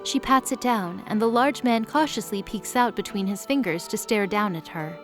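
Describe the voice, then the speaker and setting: A woman's voice: medium pitch, normal speed. A woman narrator reads a scripted story in a mid-range voice. Faint music runs behind her narration.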